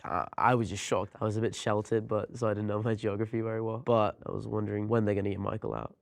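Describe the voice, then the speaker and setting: A man's voice: lowish voice, little pitch movement, fast talker, vocal fry. A young man, a student from Leicester, chatting casually in a group. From Leicester, England. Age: young adult.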